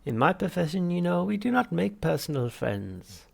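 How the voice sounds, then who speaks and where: A man's voice: sits high, big pitch swings, normal speed, gravelly, pitched above the performer's own voice, full character voice. A man voicing a smug alien psychiatrist for an audiobook, falsely cheerful, patronising and unctuous. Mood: smooth regret. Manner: unctuous, patronising, brightly over-articulated. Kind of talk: audiobook character voice.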